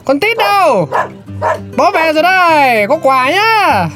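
A woman's voice: very high-pitched, big pitch swings, slow pace, loud music behind the voice, exaggerated voice. A woman playing a sulky, teasing middle-aged husband and father.

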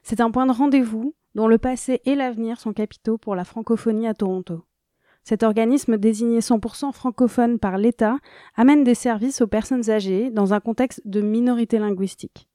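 A woman radio reporter narrating a scripted news report in an even, composed reading. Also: a little high, moderate pace, no echo, clean studio sound.

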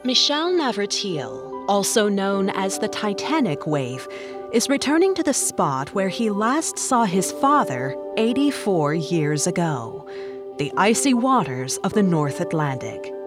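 A woman's voice: mid-range voice, very animated, music in the background. A woman playing a composed news reader in an audio drama, delivering a formal scripted report. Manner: measured, formal. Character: composed female television or radio news reader.

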